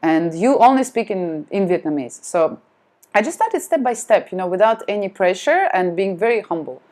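A woman whose first language is Russian, chatting as a podcast guest in a lively, self-deprecating way. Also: medium pitch, normal speed. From France. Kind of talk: casual chat.

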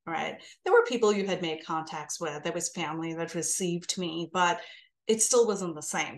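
Woman in her 40s, Indian-American blended accent, thoughtful interview guest recounting her journey. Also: on the low side. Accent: mixed Indian and American. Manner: thoughtful, measured storytelling. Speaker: podcast guest. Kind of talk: reflective interview talk.

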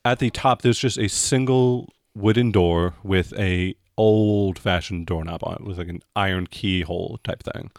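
A man with a fairly low voice narrates a tabletop game as game master. He improvises his descriptions conversationally, feeling his way through them haltingly. The sound is dry, with little room on it. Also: no echo.

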